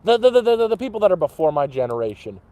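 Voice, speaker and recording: Dude in his 30s streaming from a campfire, mellow kinda high voice, rambles n swears. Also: very animated, fast talker. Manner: rambling, swears, talks to chat. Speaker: livestream host. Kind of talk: casual livestream chat. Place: outdoors at a campfire.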